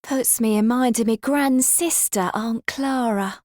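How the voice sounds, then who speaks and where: Actress as a slow, wistful, homely woman. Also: a little high, a little mellow, no echo, studio quality, lightly acted. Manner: folksy dialect, reminiscing. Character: wistful, homely woman recalling family times. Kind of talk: audiobook drama acting.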